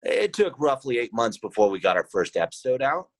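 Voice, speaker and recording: A man speaking as a podcast guest, thinking aloud deliberately in a conversational interview. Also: medium pitch, sing-song, dry recording, studio quality. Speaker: podcast guest, founder of a role-playing podcast.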